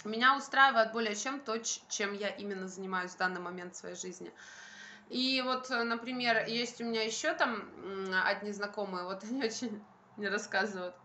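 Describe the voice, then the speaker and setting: A woman's voice: medium pitch, normal speed, bright, forceful tone, a little hiss or hum. A young adult woman chatting casually to camera as a vlogger, speaking in an emphatic, colloquial, venting way. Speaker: vlogger, stay-at-home mother. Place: bright room at home by a window, indoors. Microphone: phone at arm's length.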